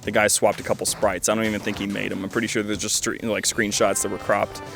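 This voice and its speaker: A young man giving a casual, conversational game review, rambling loosely with wry deadpan asides. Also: fairly low pitch, fast, creaky. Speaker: gaming video host. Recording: loud music behind the voice. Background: music.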